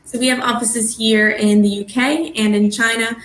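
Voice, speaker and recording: A Canadian woman presents on a video call, friendly and relaxed, often pausing with an um. Her voice sits a little high for a woman. The call audio sounds roomy and echoey. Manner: relaxed and friendly, with frequent ums. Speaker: guest speaker, business development lead. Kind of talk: webinar presentation.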